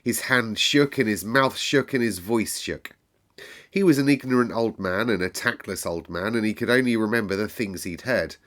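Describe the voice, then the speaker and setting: A man reading a story aloud as narrator, expressive and dramatic, with deliberate emphasis. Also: fairly low pitch.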